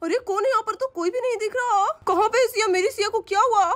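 A woman's voice: extremely high pitch, fast, a bit bright. A woman voicing a careless, boastful young husband in a cartoon story. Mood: agitated.

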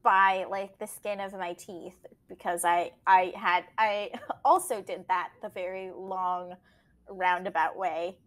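A woman's voice: lowish voice, steady tone, takes it slowly. A woman whose first language is Portuguese, talking casually as an editor and guest in an interview, laughing often and trailing into fillers.

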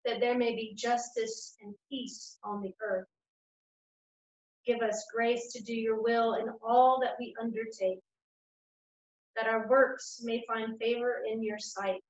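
A woman leading a congregation through liturgical prayers in a measured, steady reading voice, standing at a lectern in a small room at home and streamed over a webcam. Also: fairly high pitch. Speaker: church worship leader. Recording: echoey room, dull lo-fi sound.

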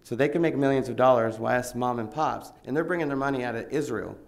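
A man's voice: mid-range voice, fast talker, gravelly. A middle-aged man from Oregon, a small farmer, giving earnest, rambling public comment at a county meeting through a table microphone. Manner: earnest, rambling, plain-spoken pleading. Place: county commission hearing room, indoors. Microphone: table microphone, seated.

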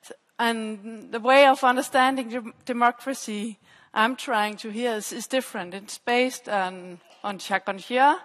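Woman doing an academic talk, kinda high voice, explains and repeats bits, reverby hall. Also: a bit bright. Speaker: PhD researcher presenting at a conference. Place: stage in a large dark auditorium. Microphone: headset. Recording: echoey hall with long reverb.

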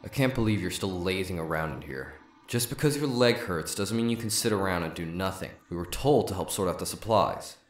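A man's voice: sits low, expressive, a little fast, few pauses. A man playing an irritated, nagging companion in a fan dub, scolding, in an echoey space. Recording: echoey room, faint music behind.

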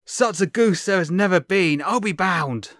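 An actor playing a gentle, devoted, cheerful father in an audio drama, tender and earnest with old-fashioned phrasing. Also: sits high, normal speed, no room sound, clean studio sound.

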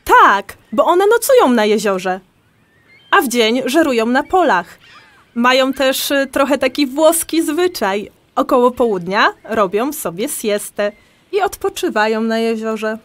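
An actress playing a patient, enthusiastic amateur birdwatcher who explains things in a conversational audio drama. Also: female voice, fairly high pitch, sing-song, unhurried, a bit bright. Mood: enthusiastic, informative.

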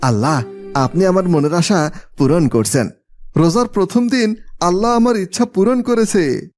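A man's voice: sits high, normal speed, darkish tone, faint music behind, close to an everyday voice. A man playing a poor, pious and earnest village farmer father in a cartoon. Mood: overjoyed, grateful. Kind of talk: cartoon voice acting.

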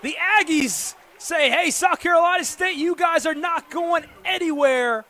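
An American man calling live football play-by-play on the radio with excitable, dramatic delivery. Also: extremely high pitch, a little slow. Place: football stadium during a live game. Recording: noisy live stadium broadcast.

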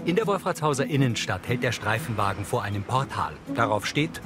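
A man's voice: mid-range voice, expressive, brisk, over loud music. A man reading neutral scripted audio description for a TV drama.

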